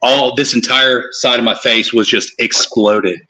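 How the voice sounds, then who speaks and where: A man's voice: mid-range voice, fairly even intonation, unhurried, a crowd in the background. A man, rambling through a joking anecdote on a casual livestream. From Tennessee, US. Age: 40s. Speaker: co-host. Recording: dull lo-fi call audio.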